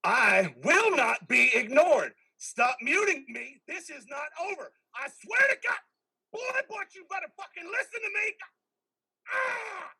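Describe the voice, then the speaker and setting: A man's voice: very high-pitched, big pitch swings, measured pace, gravelly, pushed and bright, full character voice. A man playing a taunting, menacing imagined voice in a veteran's head, sneering and needling in a dramatic play reading. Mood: outraged, desperate. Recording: remote reader's theater call.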